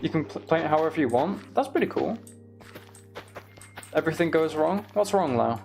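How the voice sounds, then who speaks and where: A man streaming a game, chatting casually and thinking aloud in an unhurried way as he plays. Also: on the high side, expressive, gravelly, a little mellow, soft background music. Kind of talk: casual gaming livestream chat.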